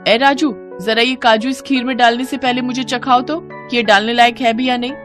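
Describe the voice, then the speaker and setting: A woman voicing a greedy, shameless, self-pleased female schoolteacher in an animated moral story, with a brazen, gleeful, drawn-out delivery. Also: a little high, soft background music, pitched above the performer's own voice, lightly acted. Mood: demanding.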